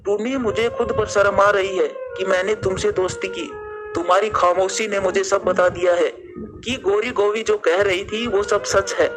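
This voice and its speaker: A man's voice: high voice, fairly even intonation, normal speed, lightly acted. A man voicing an earnest, concerned adult male bird in a cartoon, speaking seriously. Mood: reproachful.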